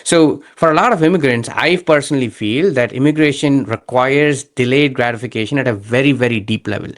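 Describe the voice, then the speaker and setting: A man speaking as an interview guest, explaining his views conversationally and emphatically, often checking in with the listener. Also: mid-range voice. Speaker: podcast guest, entrepreneur and immigration strategist.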